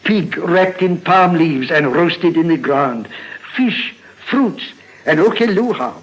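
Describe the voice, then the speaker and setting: An expressive, fairly high-voiced island uncle from old-time radio drama. He is genial and hospitable, his phrasing formal and simple, his intonation swinging widely. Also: slow, rough recording. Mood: welcoming. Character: hospitable Hawaiian uncle, genial and eager to feed guests. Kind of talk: 1950s radio drama acting.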